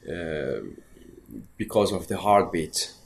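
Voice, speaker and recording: Very low-voiced man from Syria, halting. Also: slight background noise. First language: Kurdish. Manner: halting, thoughtful, many fillers and repeats. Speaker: actor guest. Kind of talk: casual interview answers. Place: festival interview room.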